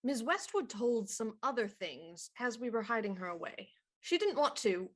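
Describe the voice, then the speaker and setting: Woman as shrewd, high-voiced mystery inspector. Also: sing-song, normal speed. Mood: coolly probing. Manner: pointed, accusatory, theatrical. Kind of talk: radio murder mystery acting.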